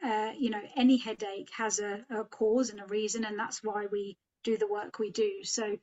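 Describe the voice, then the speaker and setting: A woman's voice: mid-range voice, level tone, normal speed, darkish tone. Thoughtful woman doctor, lo-fi audio. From Sri Lanka. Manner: thoughtful, many fillers like "you know". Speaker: headache specialist doctor. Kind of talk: podcast discussion.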